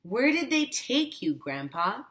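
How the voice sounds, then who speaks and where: Woman reading as a curious little girl, lifted pitch. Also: very animated, unhurried, fairly bright tone. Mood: concerned questioning. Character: curious, tender seven-year-old granddaughter.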